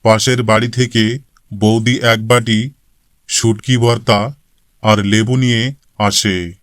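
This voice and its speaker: A man narrating a scripted cartoon story in a measured manner. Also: fairly low pitch, steady tone, fairly bright tone, smooth, dry recording, clean studio sound.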